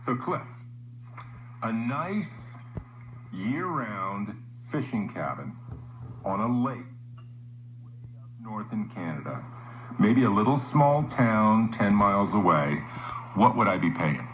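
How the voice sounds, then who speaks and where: A man's voice: on the low side, sing-song, takes it slowly, pauses often, a little hiss or hum. A man hosting a radio interview, putting questions to his guest. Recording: tinny, echoey radio playing in a room.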